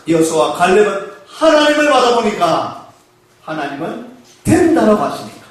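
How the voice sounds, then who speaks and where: Male pastor, high voice, call-and-response sermon, very reverberant church, distant mic. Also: a bit bright. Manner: call-and-response preaching, asking the congregation questions; slows to stress key phrases. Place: church sanctuary. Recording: echoey church hall, mic far off.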